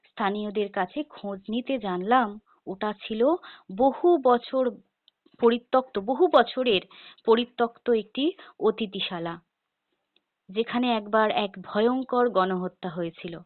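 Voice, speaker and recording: A woman reading a scripted first-person ghost story in a measured, dramatic storytelling manner. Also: on the high side, no echo. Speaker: horror story narrator. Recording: tinny phone-like narrow band.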